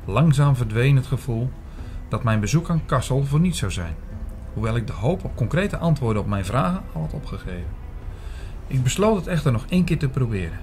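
A man's voice: mid-range voice, sing-song, a little fast, a little mellow, slight background noise. A man giving scripted, literary first-person narration in a radio drama.

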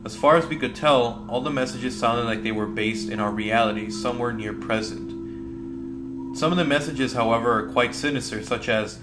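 A man's voice: mid-range voice, moderate pace, over loud music. A man narrating a scripted creepy story about a video game as a video host.

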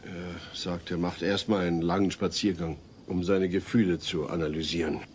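Guy playing worried dad in a comedy audio play, lowish voice, hesitant, slight hiss. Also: little pitch movement, moderate pace, darkish tone, natural voice. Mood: concerned. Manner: hesitant, earnest. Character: worried, hesitant suburban family father. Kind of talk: German comedy audio drama acting. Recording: faint noise, old cassette audio drama.

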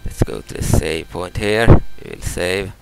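Deep gravelly Swedish man commenting gameplay. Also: over loud music, rough recording. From Sweden. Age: 30s. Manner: halting, repeats words and restarts, explains moves step by step. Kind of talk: casual let's-play commentary.

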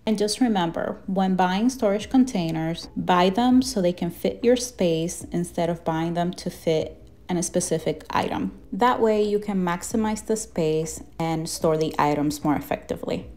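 A woman's voice: on the low side, a little mellow. A woman in her thirties vlogging from her home kitchen, talking casually and encouragingly to camera about organizing. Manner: chatty and encouraging, laughs at her own mess. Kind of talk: casual talk to camera with voice-over.

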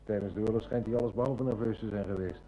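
An actor playing a shrewd, determined police inspector in a radio drama, matter-of-fact and commanding. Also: male voice, on the low side, fairly even intonation, quick pace, warm tone, dull audio, faint music behind, close to an everyday voice. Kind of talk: Dutch radio drama acting. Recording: noisy old radio recording with hum.

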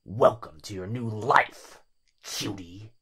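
A man voicing a possessive, predatory living shadow in a yandere ASMR roleplay, husky and half-whispered, taunting with menacing, mock tenderness. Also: fairly low pitch, a bit bright. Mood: mocking, gloating. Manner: slow, menacing, mockingly tender.

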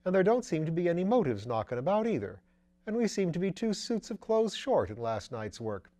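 A breezy, witty gentleman sleuth from a mystery storybook, read out by a man. He muses aloud in upper-class slang, firing off questions and exclamations. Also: high voice, sing-song, brisk, pitched above the performer's own voice. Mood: animated, puzzled. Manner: drawling clipped slang, exclamatory, musing.

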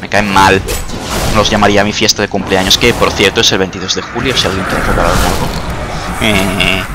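Excitable young man over game music. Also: sits low, a little fast. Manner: excitable, swearing, jokey. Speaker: gamer. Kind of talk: casual gameplay commentary. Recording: clear game music behind.

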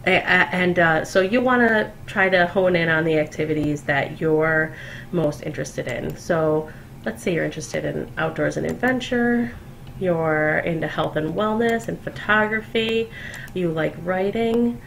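A woman's voice: fairly low pitch, gravelly, a bit bright, slight background noise. A woman presenting a casual, unscripted screen-recorded tutorial as a company co-founder, chatty and upbeat with many fillers and restarts.

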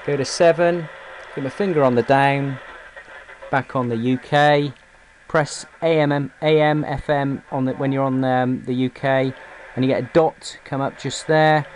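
A man's voice: mid-range voice, fairly even intonation, measured pace. A man giving a casual, hesitant, unscripted product review and demonstration as a hobbyist, recorded on a camera microphone indoors. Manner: hesitant, informal, unscripted delivery. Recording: hissy, noisy amateur camera recording.

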